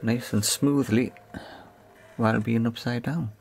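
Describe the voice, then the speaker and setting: A man casually explaining a hands-on tech repair in a matter-of-fact, halting way. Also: mid-range voice, fairly even intonation, gravelly, darkish tone. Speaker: repair video presenter.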